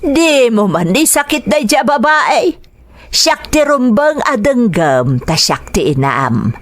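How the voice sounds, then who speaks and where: A woman's voice: a little high, very animated, slow. A woman playing a domineering, embittered mother in a melodramatic audio drama, scolding and insistent. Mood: scolding, aggrieved.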